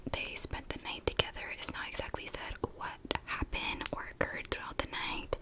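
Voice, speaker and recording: A woman telling a true crime story in a soft, breathy ASMR whisper, casually and gently, in short halting phrases. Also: rough recording.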